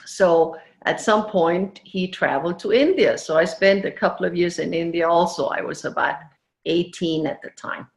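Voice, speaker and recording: A woman from Denmark who grew up in Venezuela, speaking English with an accent as an interview guest, animated and laughing often. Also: on the low side, moderate pace, reverberant. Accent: foreign accent. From Denmark, raised in Venezuela. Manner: animated storytelling, laughs often, many restarts. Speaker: entrepreneur guest. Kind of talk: casual interview chat.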